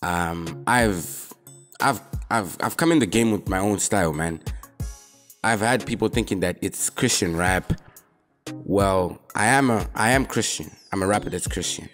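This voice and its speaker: Young rapper, low-pitched and easygoing, talking straight to camera over soft music. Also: male voice, measured pace. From Zimbabwe. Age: young adult. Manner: relaxed, laid-back, drops in "man" often. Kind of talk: casual talk to camera answering questions.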